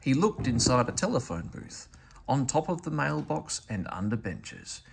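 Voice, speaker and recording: Male narrator for children's picture books, very expressive intonation, fry in the voice, faint noise behind. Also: mid-range voice, normal speed. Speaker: storybook reader.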